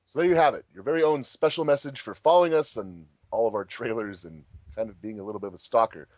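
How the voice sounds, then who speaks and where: A man's voice: fairly low pitch, fast talker, a little mellow, no room sound. A man hosting a casual group chat in a jokey, rambling, giggly way. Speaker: host of a fandub group commentary.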